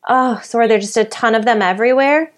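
An American woman talks casually as a guest on a podcast. She sounds enthusiastic and giggly, speaking in a loose, conversational way at an ordinary pitch. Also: quick pace. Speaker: podcast guest, horror storyteller. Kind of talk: casual chat.

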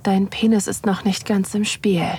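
An actress playing a nervous, curious wife who narrates an intimate erotic audio drama in a soft, often whispering voice. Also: female voice, mid-range voice, fairly even intonation, moderate pace, slightly rough. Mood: aroused, absorbed.

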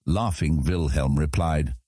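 A man's voice: extremely low pitch, unhurried, mellow, husky, no echo, studio quality. A man narrating a fantasy audiobook from a script as the storyteller.

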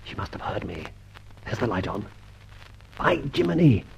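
A man playing a suave, menacing gentleman adventurer in an old radio serial, mock-polite and commanding, with clipped questions. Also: on the low side, sing-song, normal speed, gravelly, noisy background, exaggerated voice. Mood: urgent. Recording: dull, lo-fi old radio recording.

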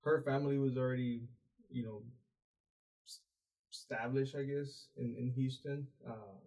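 Mellow-voiced man raised in Mexico. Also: medium pitch, steady tone, a little slow, rough recording. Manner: rambling, many fillers and restarts. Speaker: podcast guest. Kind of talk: casual interview chat.

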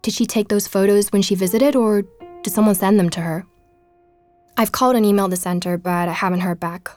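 Woman, acting, podcast journalist, measured storytelling, inquisitive, earnest, driven, audio drama, soft music behind. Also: mid-range voice, steady tone, lightly acted. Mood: curious. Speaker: podcast narrator. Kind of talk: scripted audio drama narration in podcast style.

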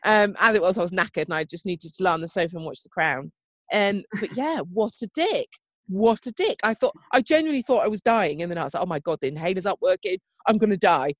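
A woman's voice: medium pitch, no room sound. A woman with a wheezy, chesty voice and a cough, chatting on a podcast. She tells her story casually and chattily, with a dry, close sound. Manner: chatty storytelling with frequent "oh my God" and laughs. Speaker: podcast co-host. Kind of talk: casual chat.

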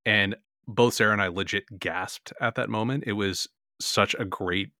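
A man's voice: fairly low pitch, fairly even intonation, moderate pace, bright, forceful tone, no echo, clean studio sound. A man co-hosting a podcast, chatting casually and enthusiastically, with lots of filler words. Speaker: podcast co-host. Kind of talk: casual chat.

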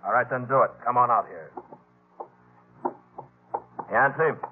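An American actor in a 1950s radio drama, playing a coolly menacing escaped outlaw boss who poses as a sheriff, commanding and slyly insinuating. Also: male voice, medium pitch, unhurried, slight background noise, lightly acted. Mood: curt, commanding. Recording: muffled vintage radio recording, faint music.

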